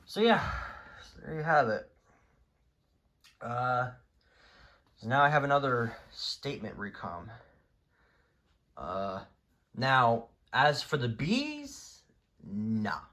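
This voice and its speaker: A man's voice: medium pitch, sing-song, takes it slowly, pauses often, a bit bright. A man chatting informally and off the cuff as he presents a hobby collection, rambling and easily exasperated. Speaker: hobbyist video creator presenting a radio collection. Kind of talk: casual unscripted show-and-tell chat.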